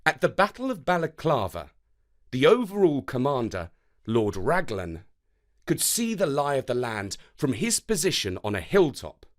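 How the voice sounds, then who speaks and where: Slow, expressive male storyteller. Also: mid-range voice. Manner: measured, expressive, dramatic delivery. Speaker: storyteller narrator. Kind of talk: scripted narration of history and poetry for children.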